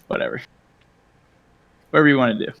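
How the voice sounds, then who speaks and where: A man's voice: mid-range voice, quick pace, vocal fry, narrow, tinny audio. A man playing a tabletop game, talking in a dry, skeptical way. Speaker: player. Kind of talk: casual table talk.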